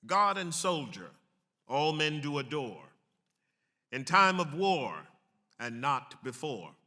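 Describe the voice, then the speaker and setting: Forceful higher-voiced man declaiming in a hall. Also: very animated, slow pace. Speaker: actor giving a dramatic reading. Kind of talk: theatrical dramatic reading of a historical text. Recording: echoey hall with long reverb.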